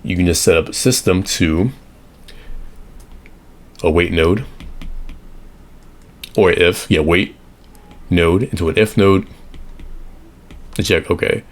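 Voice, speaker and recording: Low-voiced hoarse man explaining automations. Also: slow pace, pauses often. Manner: rambling, self-correcting, drawing on an iPad. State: hoarse, recovering from illness. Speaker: automation consultant. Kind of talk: casual screen-share tutorial.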